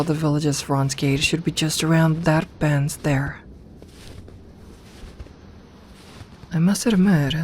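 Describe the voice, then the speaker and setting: A woman playing an imperious serpent queen in an ASMR audio roleplay, speaking formally and with measured dignity, commanding yet tender toward her companion. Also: low-pitched, a little mellow, natural voice. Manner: regal, formal, measured speech. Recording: ambient noise behind the voice.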